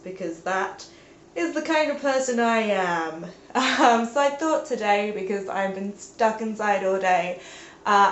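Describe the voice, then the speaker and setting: A woman's voice: a little high, muffled recording. Congested, chatty young woman, roomy sound. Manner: rambling, peppered with "like", playful exclamations. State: stuffed-up, congested from a sinus infection. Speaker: vlogger. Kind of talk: casual chatty video talk. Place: indoors at home. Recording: roomy, echoey home recording.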